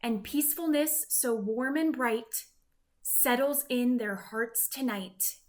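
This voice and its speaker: Slow, high-voiced woman reading stories. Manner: measured, expressive storytelling. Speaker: picture-book narrator. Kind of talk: scripted rhyming story read-aloud for children.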